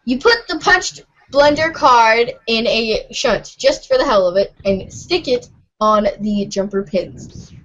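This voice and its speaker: A woman's voice: on the high side, lively intonation, slow, a bit bright. A woman reading webcomic narration aloud in an informal, giggly way for a fan podcast. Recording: dull lo-fi voice recording.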